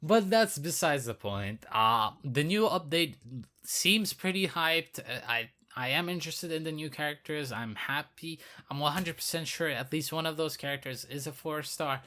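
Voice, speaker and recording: A hoarse man who is losing his voice, giving casual, rambling commentary on a game update as a video creator. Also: on the high side, animated, moderate pace, pushed and bright. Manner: rambling, filler-heavy, repeats himself.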